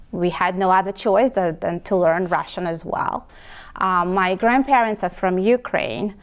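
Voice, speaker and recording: A woman from Moldova, a native Romanian speaker, answers interview questions in a fairly low, smooth voice. She talks haltingly, filling gaps with ums and restarting sentences. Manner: hesitant, many ums and restarts. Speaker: home care company owner.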